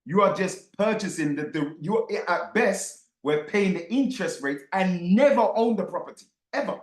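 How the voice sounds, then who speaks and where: A man's voice: sits high, lively intonation, moderate pace, roomy sound. A man hosting a live online group discussion, speaking emphatically and insistently and repeating phrases for effect. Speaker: host of an online book club discussion.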